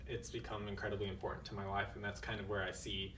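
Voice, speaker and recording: A man in his twenties from the United States, talking as an interview guest in a thoughtful, measured way with frequent fillers. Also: lowish voice, flat delivery, echoey room, distant mic, background noise. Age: 20s. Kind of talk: casual interview.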